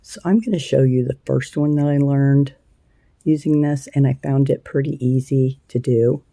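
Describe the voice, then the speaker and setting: A woman with a scratchy voice hosting a casual crochet tutorial, chatty and unhurried, with plenty of ums and uhs. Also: very deep voice, vocal fry, mellow, no echo. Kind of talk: casual hands-on craft tutorial.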